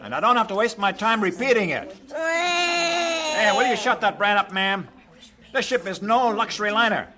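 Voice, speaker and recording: An actor playing a blunt, impatient troop ship captain with an American accent, barking and scolding in a radio drama. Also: male voice, sits high, animated, normal speed, long pauses, a crowd in the background. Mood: stern, irritated.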